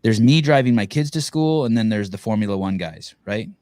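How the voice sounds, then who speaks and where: A man's voice: on the low side, lively intonation, a little fast, a little mellow, no echo, studio quality. A man hosting a podcast interview in casual chat, rambling with many restarts and casual swearing. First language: Armenian. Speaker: podcast host.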